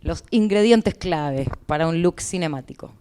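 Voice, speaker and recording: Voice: mid-range voice, animated, moderate pace, dark tone, clean tone. An interpreter with an Argentine Spanish accent, explaining to listeners in consecutive turns at a conference.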